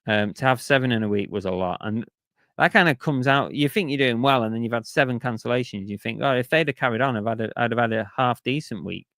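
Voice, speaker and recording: A man's voice: medium pitch, fast, fairly bright tone, dry recording, studio quality. A man chatting casually on a live stream, reading out viewer comments and grumbling about sales while losing his voice. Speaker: reseller streamer reading chat.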